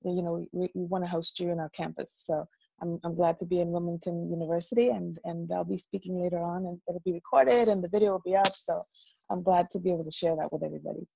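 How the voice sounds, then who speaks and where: A woman from the Dominican Republic, a guest on a video call interview, telling stories in a lively, animated way and often repeating words. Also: lowish voice, quick pace, vocal fry, slightly rough, no echo, low-quality recording. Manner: lively, animated storyteller who repeats words as she talks. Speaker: guest, business coach. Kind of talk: casual interview storytelling. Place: dorm room.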